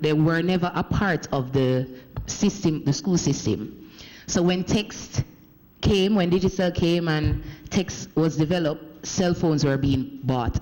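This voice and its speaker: A fairly high-pitched Jamaican man gives a formal speech with long reverb ringing around the chamber. He keeps a measured pace but breaks off and restarts words as he goes, the way someone does when voicing another person's signing live. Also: a little mellow. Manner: measured, with frequent restarts and self-corrections. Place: parliament chamber. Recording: echoey parliament hall.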